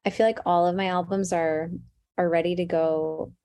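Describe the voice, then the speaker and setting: A woman musician talking in an interview, soft-spoken and thoughtful with many fillers. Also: on the low side, level tone, normal speed, no echo. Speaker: musician guest. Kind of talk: casual interview.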